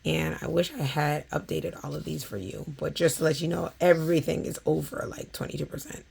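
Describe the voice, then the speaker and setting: A woman, talking casually to her viewers in a hoarse, scratchy voice, with plenty of fillers. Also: deep voice, a little fast, darkish tone. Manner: chatty, with frequent fillers. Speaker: vlogger. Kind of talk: casual chat.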